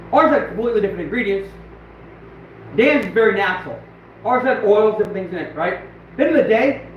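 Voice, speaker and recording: A hoarse man who is losing his voice, rambling excitedly and bluntly as he hosts a casual outdoor livestream. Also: high voice, slight background noise. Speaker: trapper and channel host. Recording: very echoey, distant, dull and lo-fi.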